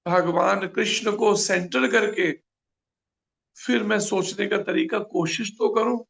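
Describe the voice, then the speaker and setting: Gravelly high-voiced preacher. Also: male voice, a bit bright. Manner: earnest, rhetorical questions. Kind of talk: scripture class.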